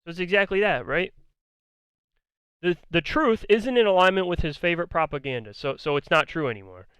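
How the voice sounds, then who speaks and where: A man giving blunt, argumentative, profane commentary, repeating his points, his voice going hoarse. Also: on the high side, lively intonation, fairly quick, a bit bright, no room sound. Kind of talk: ranting commentary on a debate.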